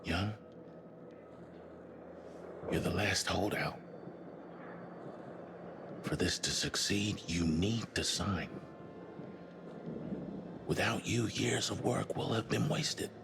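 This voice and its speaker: An actor playing a calm, authoritative middle-aged space mission superior in a sci-fi game, measured and persuasive. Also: male voice, low-pitched, gravelly, noisy background, heavily acted. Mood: grave, persuasive. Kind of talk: cinematic sci-fi game acting. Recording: loud music behind the voice. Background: loud cinematic score.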